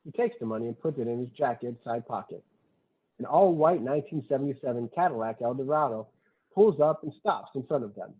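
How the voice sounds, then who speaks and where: A man's voice: mid-range voice, animated, brisk, breathy edge. A man reading screenplay stage directions aloud at a table read, matter-of-fact, in short clipped sentences. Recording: thin, phone-like online call audio.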